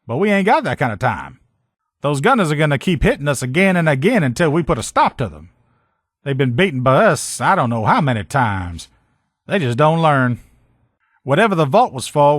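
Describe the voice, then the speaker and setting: A man's voice: on the high side, big pitch swings, brisk, no room sound, studio quality. A man playing an upbeat, loyal army lieutenant and armor mechanic, with folksy, informal soldier talk, as video game dialogue. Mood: matter-of-fact, determined.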